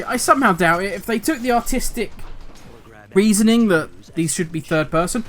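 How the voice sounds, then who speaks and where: Older male gaming host, slightly raised pitch, rambling and grumpy in tone. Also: fairly bright tone. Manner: talkative, rambling, self-correcting; cynical, grumbling. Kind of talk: casual commentary video.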